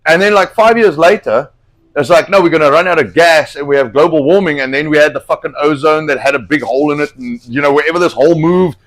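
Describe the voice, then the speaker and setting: A middle-aged man hosting a cooking live stream, chatting bluntly and swearing a lot as he rambles, his voice slightly hoarse from a cold. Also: on the high side, fast talker, rough recording. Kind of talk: casual profane chat while cooking.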